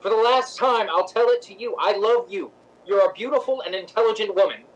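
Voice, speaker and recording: A man performs a weary, loving boyfriend in a 1950s-style radio play. His voice sits a little high. He speaks frankly and firmly, with dry wit. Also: brisk, muffled recording. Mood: exasperated, insistent.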